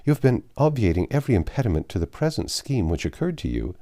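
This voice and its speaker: A man reading aloud the part of a prudent young woman who speaks in a measured, composed way. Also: lowish voice, big pitch swings, mellow. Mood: calm. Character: calm, prudent young woman, elder sister.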